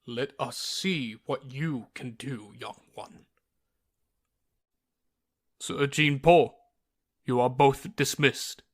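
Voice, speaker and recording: An actor playing a commanding middle-aged king and father, speaking formally in an ASMR roleplay. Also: mid-range voice. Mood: regal. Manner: formal, regal, unhurried.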